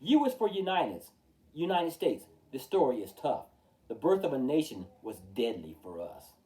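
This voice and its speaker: Male storytime reader: mellow tone, lively swooping intonation, emphatic rhyming verse, recorded a little far from the mic. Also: a little high, normal speed. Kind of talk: expressive children's picture-book read-aloud. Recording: distant from the mic.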